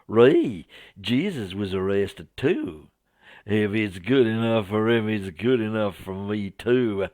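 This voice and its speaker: A man's voice: lowish voice, animated, slow, a little mellow, no echo, studio quality. A man voicing a zealous, naive Southern American missionary in a told story, with a folksy, preachy drawl. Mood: earnest zeal.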